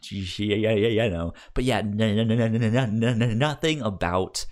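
A man's voice: lowish voice, normal speed, a little mellow. A man co-hosting a podcast in casual film discussion, stammering and often repeating sounds and words. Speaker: podcast co-host.

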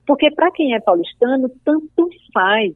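A woman's voice: sits high, lively intonation, normal speed, no echo. A young woman from Paraíba with a Northeastern Brazilian accent, speaking thoughtfully as an interview guest and building careful arguments. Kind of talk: reflective conversation. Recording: dry tinny phone-like call audio.

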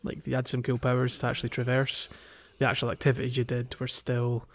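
A man co-hosting a podcast in casual chat, speaking in a hesitant, halting way. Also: medium pitch, completely flat delivery. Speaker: podcast co-host. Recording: dull lo-fi microphone audio.

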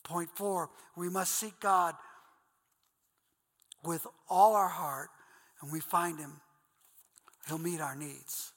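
A man's voice: a little high, fairly even intonation, takes it slowly, long pauses, fairly bright tone, in a large hall. A man with an Ohio accent preaching a sermon in an earnest, conversational way, calling his listeners guys and reading Scripture aloud. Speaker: pastor.

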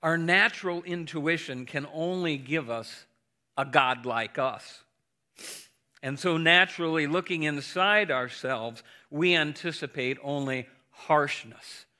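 A man preaching as pastor in a measured, earnest way, then interviewing a guest. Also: fairly high pitch, sharp tone. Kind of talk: sermon and interview.

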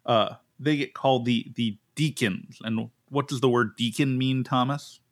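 A man, a pastor from the United States, hosting a casual Bible study podcast in an animated way, often restarting his sentences and leaping up in pitch to make a point. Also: normal speed. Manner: animated, jumps up in pitch for emphasis, frequent restarts.

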